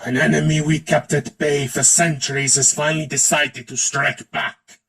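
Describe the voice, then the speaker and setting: A man voicing a solemn, commanding fantasy warrior leader with a husky, breathy voice and grave, deliberate delivery. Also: fairly high pitch, little pitch movement, fairly bright tone, heavily acted. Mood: solemn, urgent. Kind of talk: dramatic character voice acting reel. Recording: slightly distant, music behind.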